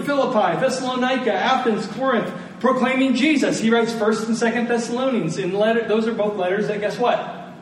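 Male preacher, notably high voice, emphatic and repetitive sermon delivery, recorded at a distance in a very echoey space. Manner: emphatic, with deliberate repetition and rhetorical questions. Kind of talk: sermon to a live congregation. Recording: very echoey room, distant mic, faint noise.

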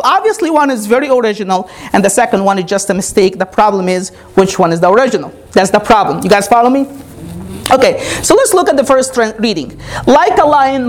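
A woman whose first language is Arabic delivers a teaching sermon. Her voice sits a touch high. She keeps checking in with the congregation as she explains. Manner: calls "Amen?" to the congregation, explains step by step. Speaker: pastor.

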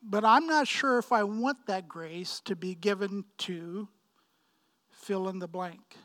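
A man in his fifties from Pakistan preaching a sermon, with emphatic, deliberate phrasing and frequent repetition. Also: high-pitched, pushed and bright. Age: 50s. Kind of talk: sermon, expository preaching with personal anecdotes.

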